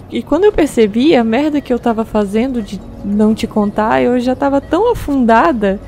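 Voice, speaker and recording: An actress voicing a weary young woman in an audio drama, speaking in a hesitant, halting, emotional way. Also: a little high, dark tone, a little hiss or hum.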